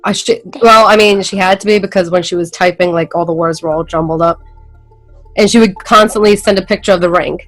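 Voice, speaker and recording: A woman's voice: lowish voice, fairly even intonation, brisk, soft background music, low-quality recording. A woman from New England with a New England accent, a guest telling her story in a casual podcast interview, rambling and full of fillers and restarts. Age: adult with school-age kids.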